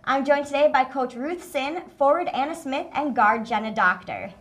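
A woman's voice: high-pitched, moderate pace, a bit bright. A young woman hosting a student sports show from a studio desk, reading her lines upbeat on a lapel mic. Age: young adult. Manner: upbeat, reads from a script. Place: small TV studio set, indoors.

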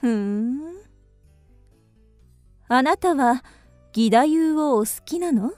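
A teasing, forward young geisha in a radio drama. Her voice is high and unhurried, coy and probing in old-fashioned feminine phrasing. The sound is dry, with faint music behind. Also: lively intonation, darkish tone, no echo. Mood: coy, curious.

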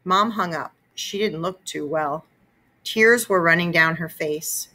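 A woman narrates a children's story expressively. Her voice sits a little low, with a bright, forward edge. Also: unhurried, low-quality recording. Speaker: read-aloud narrator.

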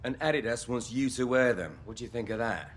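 A man playing a matey, smooth-talking middle-aged sports agent in a video game drama, talking like a salesman. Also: medium pitch, lively intonation, a little fast, natural voice. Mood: persuasive. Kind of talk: acted video game dialogue.

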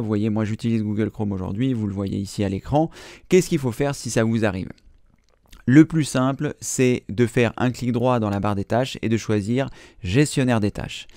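A man's voice: lowish voice, warm tone, no echo, studio quality. A man in his thirties hosting a computer tutorial, explaining step by step with patient fillers. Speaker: computer training host. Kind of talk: screen-recorded tutorial.

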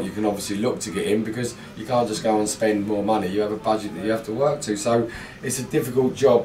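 A man's voice: lowish voice, a little mellow, far from the mic, slight background noise. A middle-aged man, a football manager, giving a blunt, frustrated post-match interview full of fillers in a small indoor room. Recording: echoey room, mic set back.

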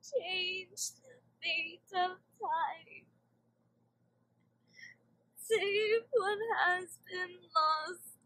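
A woman plays a grieving cartoon unicorn mare. She sings mournfully in a very high voice, drawing each word out extremely slowly. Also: bright, forceful tone, heavily acted, rough recording. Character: sorrowful unicorn mare singing a plea. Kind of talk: slow mournful singing.

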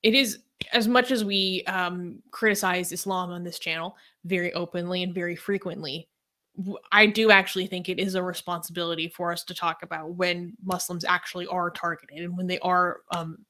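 A woman's voice: on the low side, little pitch movement, normal speed, pushed and bright. A young woman talking through a news story casually, hesitant with many ums and likes, on a video call from home. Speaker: co-host.

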